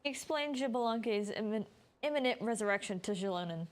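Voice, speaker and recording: A woman's voice: a little high, expressive, fairly quick. A woman game streamer giving informal live commentary, often mimicking what the characters are thinking. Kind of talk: casual live gameplay commentary. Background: game music.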